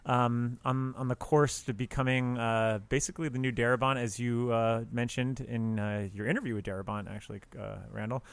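A man's voice: medium pitch, level tone, a little fast, few pauses, smooth. A man on a podcast panel giving a casual film critique.

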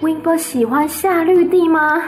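A woman's voice: very high voice, a little slow, faint music behind, voice pushed up. A woman voicing a puzzled, worried farm mother who asks incredulous questions. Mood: baffled.

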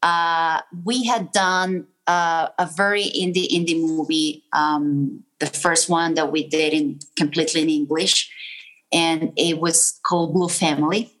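Woman, from Venezuela, low voice, animated storyteller. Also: a little slow, low-quality recording. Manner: animated storyteller, acts out quoted people. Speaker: film producer, guest. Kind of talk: casual interview storytelling.

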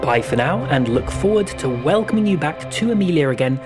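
A man's voice: fairly high pitch, expressive, brisk. A man announcing scripted podcast credits and appeals to listeners. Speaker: show announcer. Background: loud music.

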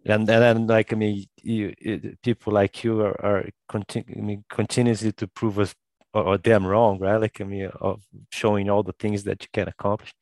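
A man hosting an interview podcast who asks questions haltingly, with many fillers and repeated words. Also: lowish voice, level tone, darkish tone, no room sound. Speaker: podcast host. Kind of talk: conversational interview.

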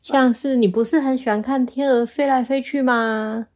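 A woman's voice: fairly high pitch, steady tone, normal speed, darkish tone, voice pushed up, close to an everyday voice. A woman voicing a small clever squirrel in a bedtime story, matter-of-fact and instructive. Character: small clever squirrel, scolding but helpful teacher.

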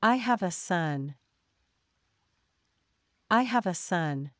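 A woman reading simple example sentences for learners, slowly and deliberately, saying each one twice. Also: lowish voice, lively intonation. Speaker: English teacher.

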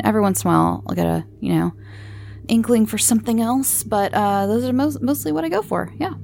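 A woman's voice: lowish voice, gravelly, a little mellow, a little hiss or hum. A woman hosting a podcast, chatting casually and in a rambling, informal way as she answers listeners. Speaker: podcast host. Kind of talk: casual chat answering listener voicemails and questions.

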